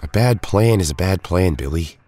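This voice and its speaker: Very deep-voiced, earnest young fighter played by a man. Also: animated, normal speed, mellow. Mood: grave, resolute. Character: earnest, weary young male resistance fighter. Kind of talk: audio drama acting.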